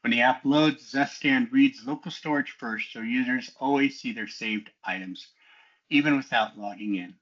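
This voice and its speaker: A man's voice: medium pitch, moderate pace, fairly bright tone, slightly rough, highs cut off. A man giving a methodical, explanatory technical walkthrough as a student developer, in a semi-scripted presentation style.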